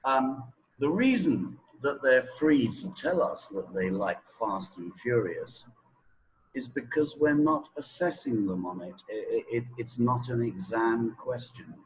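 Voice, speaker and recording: Slow-talking opinionated man in an interview. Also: medium pitch, lively intonation, low-quality recording. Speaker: English teaching expert being interviewed. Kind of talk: conversational interview answers.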